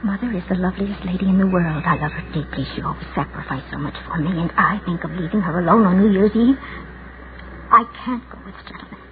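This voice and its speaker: An actress playing the inner voice of an anxious, lonely spinster, fretful and wavering, in 1940s radio style. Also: female voice, lowish voice, fast, narrow, tinny audio, close to an everyday voice. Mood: torn, guilty. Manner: fretful, self-arguing, wavering. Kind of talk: 1940s radio acting, interior monologue. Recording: noisy, thin 1940s radio recording.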